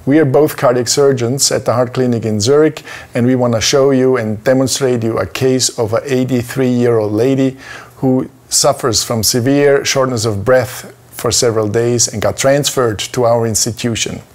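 A man's voice: mid-range voice, steady tone, normal speed, darkish tone. A middle-aged man with a Swiss German accent, presenting a medical case to camera as a surgeon. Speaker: cardiac surgeon. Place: small indoor room in a clinic. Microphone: lapel mic.

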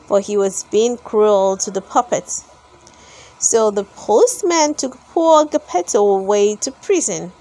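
A woman reads a storybook aloud for children. Her voice is high and very lively in its melody. She goes slowly and deliberately, phrase by phrase, as an expressive storyteller. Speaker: storybook reader.

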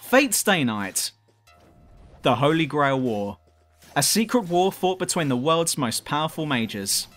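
Expressive man narrating, music behind. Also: fairly high pitch, unhurried. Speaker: narrator of a top ten review video. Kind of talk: scripted review narration.

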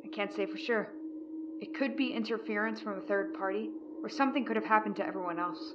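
A woman's voice: mid-range voice, fast, muffled recording, heavy background noise, lightly acted. An actress playing a tough, commanding female rebel captain in a sci-fi audio drama. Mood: calm, planning. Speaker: voice actor.

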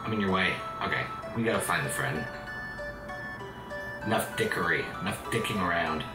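A man hosting a casual let's play, joking in a crude, sarcastic, animated way as he plays. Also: sits low, normal speed, far from the mic. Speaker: host playing a video game. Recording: roomy echo, game music behind. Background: video game music.

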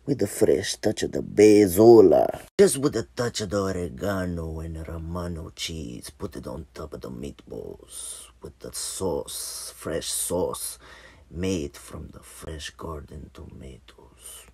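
A man puts on an as a theatrical chef who lingers over every word. The voice is slow, low and gravelly, close on a phone. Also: animated, dark tone, heavily acted. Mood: savoring. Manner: drawn-out, savoring, theatrical. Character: showy Italian chef savoring his food. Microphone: phone front camera at arm's length.

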